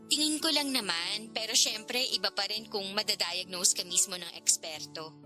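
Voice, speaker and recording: A woman's voice: medium pitch, normal speed, bright, forceful tone, faint music behind. An actress playing a curious, teasing, frank older girlfriend figure in a radio drama, talking in a playful, probing, casual way.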